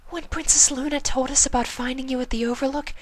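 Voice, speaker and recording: A storyteller voicing a shy, gentle, anxious female pegasus pony with a soft, whispery, hesitant voice. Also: high voice, fairly even intonation, quick pace, no echo, pitched above the performer's own voice, lightly acted. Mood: worried, tearful. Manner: hesitant, timid.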